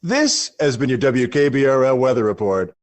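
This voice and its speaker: A man's voice: mid-range voice, lively intonation, normal speed, a little hiss or hum, natural voice. A man playing an uneasy, existential news anchor on a mock game radio report, stilted and theatrical. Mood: grandly bleak.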